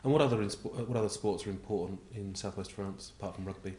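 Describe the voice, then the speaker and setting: A man's voice: lowish voice, fairly even intonation, quick pace, warm tone, reverberant. A man interviewing a guest, prompting him with leading questions and short affirmations. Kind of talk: conversational interview.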